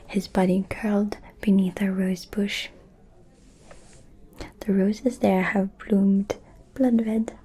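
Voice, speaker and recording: A woman performs a seductive, scheming vampire noblewoman for an ASMR roleplay. Her voice is a very husky, breathy whisper with a mellow color. She speaks slowly and deliberately, confiding gossip with sly pauses. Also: mid-range voice. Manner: slow, deliberate, insinuating with pauses.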